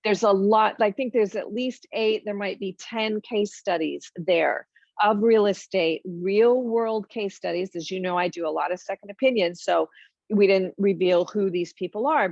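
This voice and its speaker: Woman, webinar host, talky, emphatic, mid pitch, slightly muffled recording. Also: no echo. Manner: chatty, emphatic, lists points with frequent ums and asides. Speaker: financial educator hosting a webinar. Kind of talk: informal webinar talk.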